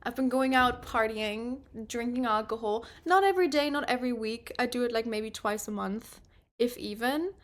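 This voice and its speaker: A woman's voice: a little high, moderate pace. A woman in her early twenties chatting casually with her stream while doing her makeup, rambling with lots of filler words. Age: 20s. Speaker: livestreamer.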